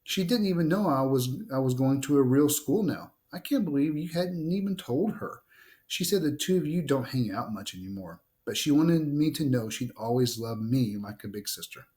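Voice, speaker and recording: A man's voice: mid-range voice, animated, normal speed, darkish tone, close to an everyday voice. A man reading the part of a young boy in a storybook, a stubborn, sulky little brother who pushes back. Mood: defiant. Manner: stubborn, challenging. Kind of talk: storybook read-aloud dialogue.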